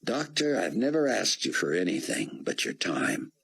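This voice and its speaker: A man's voice: low-pitched, wildly swooping pitch, normal speed, creaky, a bit bright, breathy edge, exaggerated voice. A man voicing an eager, polite young male journalist who speaks earnestly and respectfully. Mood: pleading.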